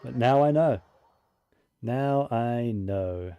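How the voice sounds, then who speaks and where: A man's voice: medium pitch, expressive, extremely slow, clean tone, muffled recording, soft background music. A man giving casual video game commentary, musing and thinking aloud to himself as he plays.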